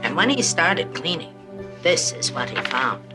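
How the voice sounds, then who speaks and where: A woman playing a gentle visitor to a sick child in a television drama, with a playful storytelling manner. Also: low-pitched, sing-song, a little slow, music in the background.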